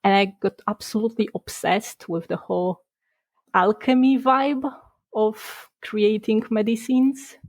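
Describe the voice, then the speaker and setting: A young woman from Poland, a game designer, talking casually in a podcast interview, chatty and quick to laugh. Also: mid-range voice, dry recording. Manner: chatty, laughs between points, restarts words.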